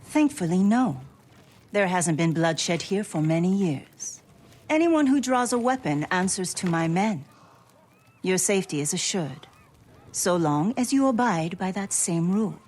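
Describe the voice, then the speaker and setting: An actress playing a poised, courteous noblewoman in a game cutscene, composed and quietly authoritative. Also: fairly low pitch, expressive, a little slow. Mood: composed authority. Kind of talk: dramatic game cutscene acting.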